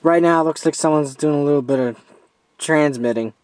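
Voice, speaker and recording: A man giving a casual, hands-on product review as an amateur, informal and halting as he steps through features. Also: on the high side, darkish tone.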